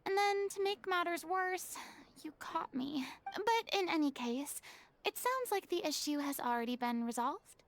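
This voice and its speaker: A woman's voice: very high voice, expressive, unhurried, heavily acted. An actress playing a proud, theatrical young woman who is flustered and stammers, then turns formal, in a game. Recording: game audio with faint music.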